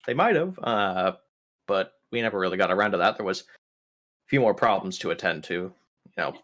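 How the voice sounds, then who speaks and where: A player in a tabletop roleplaying game, improvising casual in-character dialogue in halting, hesitant phrases. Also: low voice, a bit bright.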